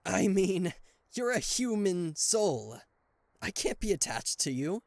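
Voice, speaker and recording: A man playing a charismatic, flustered king of hell as a boyfriend in an ASMR roleplay audio drama, with a theatrical, halting delivery. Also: high voice, sing-song, vocal fry, dry recording, heavily acted. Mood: exasperated, lovestruck. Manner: theatrical, hesitant stammering, exasperated sighs.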